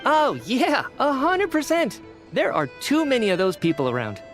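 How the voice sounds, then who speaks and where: A man's voice: very high-pitched, huge pitch swings, normal speed, exaggerated voice. A man voicing an earnest, flustered researcher in a video game, hesitant and prone to stammering. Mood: earnest, sheepish. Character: flustered, earnest male researcher, an apologetic theater fan. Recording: music under the voice.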